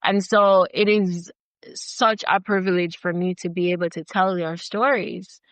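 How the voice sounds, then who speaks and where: A woman's voice: on the low side, fairly even intonation, a little slow, no echo. A woman with a Jamaican accent, a filmmaker guest in her thirties, talking earnestly and emphatically in an interview, with lots of "like" and "you know". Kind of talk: passionate conversational interview.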